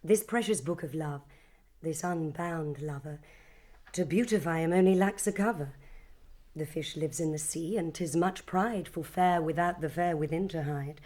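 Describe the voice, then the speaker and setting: Bossy noble mum in shakespeare radio play, lowish voice, formal and composed. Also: female voice, moderate pace, warm tone. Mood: persuasive. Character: poised, commanding noblewoman mother, formal and brisk. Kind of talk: Shakespearean audio drama acting.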